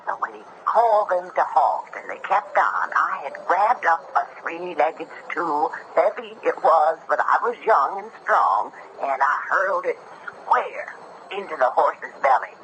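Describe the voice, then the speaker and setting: Old new country fella spinning a ghost story, real slow, old noisy radio show. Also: male voice, on the high side, lively intonation, pushed and bright. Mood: indignant. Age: older. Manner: folksy, rambling, emphatic. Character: old country man telling a ghost tale. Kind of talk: 1970s radio drama acting. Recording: noisy vintage radio broadcast.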